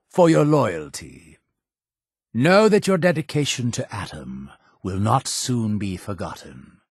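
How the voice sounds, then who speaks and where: A suspicious, stern cult leader for a video game. His voice is husky and fairly low, swinging widely in pitch while staying formal and deliberate. He sounds like a solemn preacher, fatherly on the surface and menacing underneath. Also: no echo, studio quality, full character voice. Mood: measured approval. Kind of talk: scripted video game dialogue.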